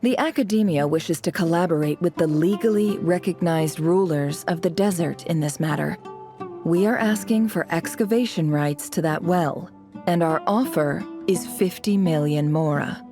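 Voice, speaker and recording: Woman acting cold, cunning, low-voiced young schemer woman. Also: music in the background, lightly acted. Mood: cool, calculating. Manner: smooth, measured, disdainful. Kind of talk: video game voice acting.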